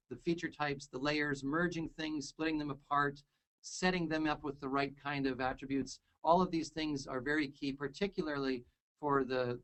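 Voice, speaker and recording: Upbeat guy hosting a webinar, slightly higher voice, jokey and chatty. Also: fairly bright tone. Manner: upbeat, joking, enthusiastic. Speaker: webinar host. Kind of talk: casual webinar chat.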